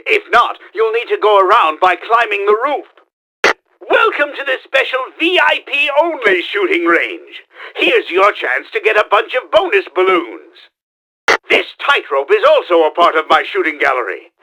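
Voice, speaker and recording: A bombastic mad-scientist game villain, pitched high with wild, swooping intonation. The gravelly voice is forceful and theatrical. He is pompous and scheming, a middle-aged schemer who performs every line. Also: big pitch swings, moderate pace, exaggerated voice. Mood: boastful showman. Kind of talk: exaggerated video game voice acting.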